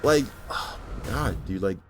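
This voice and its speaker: A man's voice: deep voice, lively intonation, a little slow, slight background noise. A man from New York hosting reaction commentary, casual and heartfelt, full of "like" and swearing. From New York, USA. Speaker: commentary channel host. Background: music.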